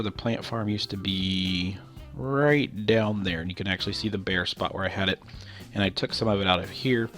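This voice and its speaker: A man with a stuffy nose from a cold, casually talking through his game with loose, rambling explanations and lots of fillers. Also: on the low side. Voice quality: nasal. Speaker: gameplay commentator. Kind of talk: casual game walkthrough commentary.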